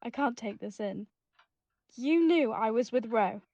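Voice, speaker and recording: A woman's voice: fairly high pitch, lively intonation, a little slow, warm tone, dry recording. A young woman playing a defiant, anxious eighteen-year-old daughter in an audio drama. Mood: shocked, upset. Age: young adult. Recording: slightly muffled home recording.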